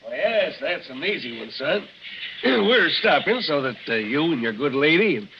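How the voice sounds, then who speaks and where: A man's voice: fairly high pitch, sing-song. An actor playing a courteous, folksy older American ex-cowpuncher turned oilman in a 1940s radio drama. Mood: genial. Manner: folksy, halting with courtly address. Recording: muffled, noisy 1940s radio recording.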